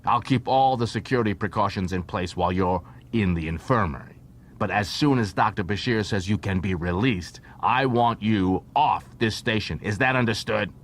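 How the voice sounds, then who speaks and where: A man's voice: moderate pace, lightly acted. A man plays a stern male constable in an audiobook, formal and clipped as he questions. He sounds sceptical and official, with faint noise behind the voice. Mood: stern, probing. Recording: noisy track with low rumble.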